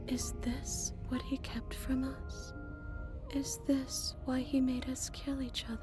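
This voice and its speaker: A gentle, plaintive princess from a horror game. Her high voice is hushed and breathy, with little rise or fall, and she speaks slowly over music. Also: level tone, in a whisper. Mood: plaintive, lost. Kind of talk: video game voice acting.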